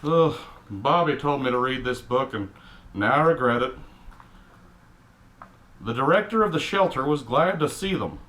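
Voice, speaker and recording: A man's voice: mid-range voice, expressive, unhurried, pauses often, a bit bright, low-quality recording. A man putting on a cranky middle-aged Texan dad with a Texan accent, reading a picture book aloud with blunt, exasperated asides. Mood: grumbling. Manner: grumpy, exasperated, blunt, mildly profane. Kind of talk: comic character storybook reading with grumbling asides.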